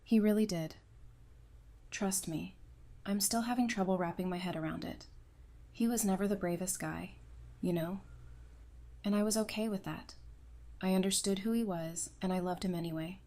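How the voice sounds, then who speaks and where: A woman's voice: medium pitch, normal speed, long pauses, mellow, lightly acted. A woman playing a caring but hurt psychiatrist in an audio drama, speaking in a candid, conversational way. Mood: weary, hurt. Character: caring psychiatrist woman, weary and hurt, wryly self-deprecating.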